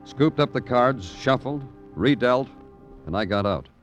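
A man's voice: medium pitch, measured pace, muffled recording, faint music behind, lightly acted. Man playing a terse hard-boiled radio detective. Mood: wry, sardonic. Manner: clipped, deadpan, hard-boiled. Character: terse, wry, world-weary male police detective narrator. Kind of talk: old-time radio detective drama, narration and dialogue. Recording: vintage radio recording with crowd sounds.

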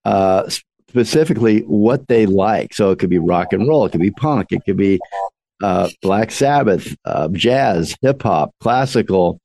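A man's voice: on the low side, animated, unhurried, gravelly, warm tone, dry recording. A man hosting a radio interview, asking long, rambling, earnest questions.

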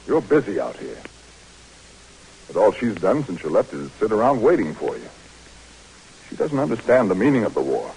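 Low-voiced, animated, fatherly officer. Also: moderate pace, vocal fry, pauses often, slight background noise, exaggerated voice, poor audio. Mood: reassuring. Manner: earnest, firm. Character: firm, fatherly male military superior, reassuring. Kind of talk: 1940s-50s radio drama acting.

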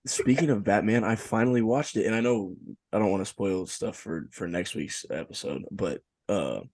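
A young man co-hosting a podcast who teases his friends with quick questions. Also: lowish voice, darkish tone, husky, dry recording. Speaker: podcast co-host. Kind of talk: casual chat.

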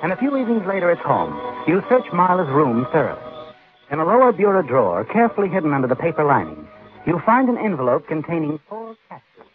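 An actor playing a knowing, insinuating narrator of a 1950s radio suspense drama. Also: male voice, on the high side, huge pitch swings, quick pace, soft background music. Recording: muffled 1950s radio recording.

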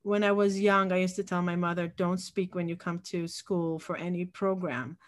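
A woman's voice: fairly low pitch, steady tone, normal speed. A woman in her forties who grew up in Israel speaks as an interview guest. Her talk is reflective and casual, full of small restarts as she gathers her thoughts. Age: 40s. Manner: reflective, with frequent restarts and fillers. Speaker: podcast guest, community founder.

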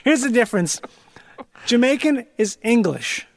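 A man from Canada in casual comedic chat, eager and quick to laugh along. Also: very high voice, sing-song, creaky.

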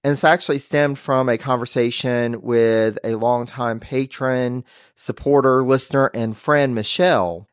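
An upbeat man hosts a casual history podcast, chuckling often as he talks. His voice sits at a middle pitch. The recording is dry and close. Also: fairly even intonation, measured pace, clear, resonant tone, no echo. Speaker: podcast host.

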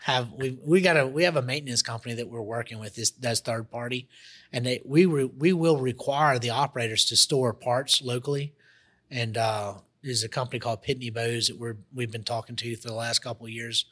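A man's voice: medium pitch, steady tone, fairly bright tone. A man with a Southern US accent, a guest talking business in a casual interview, full of restarts and fillers. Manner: halting, many restarts and fillers.

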